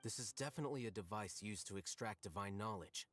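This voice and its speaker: An actor playing a calm, analytical male scholar in a game, measured and deductive. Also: lowish voice, faint music behind, lightly acted. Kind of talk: game voice acting.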